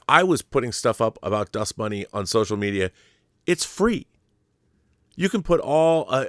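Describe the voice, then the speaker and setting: A man's voice: medium pitch, a bit bright, dry recording, clean studio sound. A middle-aged American man co-hosting a casual film discussion, opinionated and emphatic, often posing rhetorical questions. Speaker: talk show co-host.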